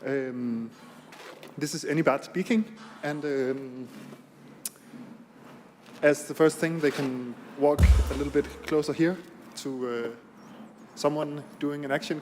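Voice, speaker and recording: A man giving an improvised radio reportage on location, halting and pausing to search for words. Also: mid-range voice, steady tone, noisy background. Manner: halting, with long searching pauses. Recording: long echo, faint music.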